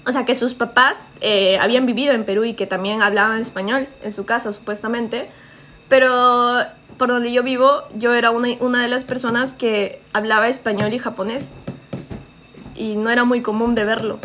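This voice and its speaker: A woman's voice: a little high, fairly even intonation, normal speed, a bit bright. A young woman from Japan chatting casually on a live stream in a small living room, laughing often and reading out viewer comments. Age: young adult.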